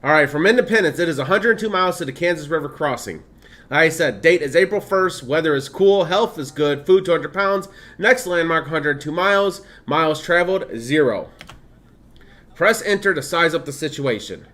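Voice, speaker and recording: Male streamer, 30s, blended Midwestern/Southern/Californian accent, slightly high pitch, chatty and laughing. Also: lively intonation, normal speed, fairly bright tone. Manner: chatty, laughs often, reads game text aloud. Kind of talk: casual gameplay stream commentary.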